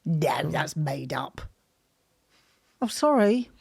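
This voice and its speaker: Lively middle-aged mum. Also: female voice, sits low, takes it slowly, vocal fry, slightly rough. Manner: warm motherly, easily amused, old-fashioned sayings. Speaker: podcast co-host, host's mother. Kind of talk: casual podcast chat.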